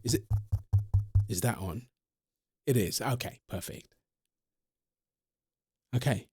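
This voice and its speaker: A man's voice: medium pitch, slow pace, darkish tone, slightly rough, dry recording, studio quality. A man playing an earnest centuries-old vampire in love, polite and insistent, in an ASMR-style audio roleplay. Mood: nervous, polite. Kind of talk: ASMR audio roleplay, one-sided interview.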